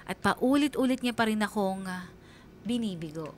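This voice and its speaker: A woman's voice: medium pitch, expressive, normal speed, slight background noise, close to an everyday voice. A woman playing an angry, wounded young daughter, narrating her story in a bitter, emotional radio drama outpouring. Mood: angry, hurt.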